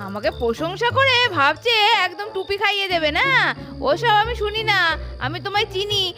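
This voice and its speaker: A woman's voice: very high voice, animated, normal speed, over music. A woman voicing a scolding, put-upon doll mother in a puppet story, nagging and sarcastic.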